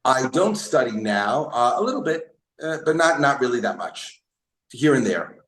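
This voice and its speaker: A man who is a comedian, talking casually in an interview, halting with many restarts and fillers. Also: mid-range voice, sing-song, fairly bright tone, roomy sound. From Brooklyn, New York. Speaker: comedian guest.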